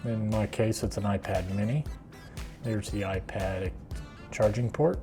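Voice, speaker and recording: A man's voice: fairly low pitch, fairly even intonation, a little slow, music in the background. A middle-aged man with an American accent, casually explaining a do-it-yourself project in a plainspoken, unscripted way. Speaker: woodworking video host.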